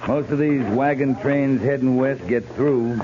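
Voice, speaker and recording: An actor playing a gruff, dry, seasoned cavalry captain in a 1950s radio western, talking tersely and with authority. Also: male voice, mid-range voice, unhurried, a crowd in the background, close to an everyday voice. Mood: dry, doubtful. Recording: muffled, noisy 1950s radio recording.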